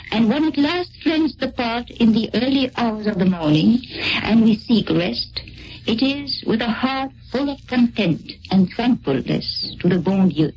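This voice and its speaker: A muffled, lightly noisy 1940s radio recording carries a woman with a French accent. Her voice is on the high side. She reads a prepared address in a solemn, earnest way. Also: darkish tone. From France. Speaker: Free French army volunteer, guest speaker. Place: radio studio.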